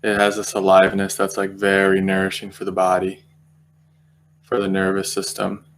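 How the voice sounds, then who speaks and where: A man leading an unscripted guided meditation, speaking gently and slowly, with long pauses as he feels his way through each thought. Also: low-pitched, monotone, low-quality recording.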